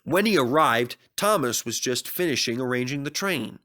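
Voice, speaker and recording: A man's voice: medium pitch, animated, moderate pace, sharp tone. A man narrating a scripted children's story expressively as a storybook reader.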